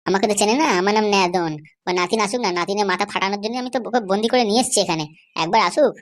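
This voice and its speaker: A woman's voice: mid-range voice, rapid-fire, lightly acted. A woman voicing a boastful, vengeful male kidnapper who argues brashly in an amateur comedy cartoon.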